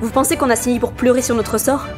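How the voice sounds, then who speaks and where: High female voice, acted, indignant, exhorting, slightly pompous, music underneath, comedy audio drama. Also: steady tone, fast, close to an everyday voice. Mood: indignant, rousing. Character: indignant woman start-up veteran rousing discouraged colleagues.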